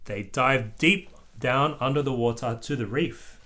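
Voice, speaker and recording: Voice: medium pitch, a little slow, fairly bright tone. An adult reading a children's picture book aloud, chatting and prompting a small child with simple questions.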